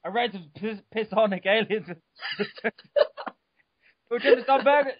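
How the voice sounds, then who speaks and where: A man giving a mock-dramatic reading of an article, over the top, bursting into shouts and stumbling over words. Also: sits high, moderate pace, no room sound, highs cut off. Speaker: reader.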